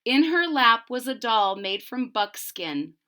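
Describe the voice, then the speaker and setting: A woman reading a children's story aloud expressively, deliberately, with explanatory asides to young listeners. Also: on the high side, sing-song, bright, forceful tone.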